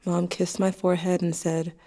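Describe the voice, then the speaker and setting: A woman's voice: on the low side, little pitch movement, moderate pace, warm tone, lightly acted. A young woman playing a grieving, anxious teenager, narrating an intimate, wry diary to a dead sibling in an audio drama. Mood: subdued, tender.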